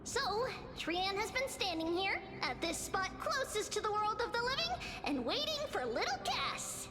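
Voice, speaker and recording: Very high, childlike little girl. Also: very animated, moderate pace, long reverb tail. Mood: gentle, earnest. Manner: refers to self by own name, sweet, childish phrasing. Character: cheerful, childlike little girl spirit speaking in third person. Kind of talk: anime-style video game voice acting. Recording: game music behind the voice.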